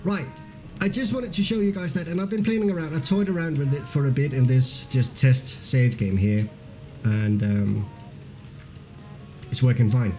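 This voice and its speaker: Danish man with a mellow voice. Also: mid-range voice, normal speed, faint music behind. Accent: mixed, hard to place, with a Danish base. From Denmark. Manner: loose and chatty, with many fillers and restarts; reads viewer comments aloud and laughs easily. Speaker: game streamer. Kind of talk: live stream commentary, chatting with viewers.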